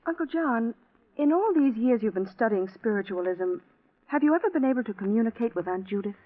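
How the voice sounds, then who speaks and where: An actress playing a polite, solicitous young daughter in a genteel old radio drama style. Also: female voice, a little high, lively intonation, brisk, lightly acted. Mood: polite, curious. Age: young adult. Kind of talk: 1940s radio acting. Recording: tinny vintage radio recording.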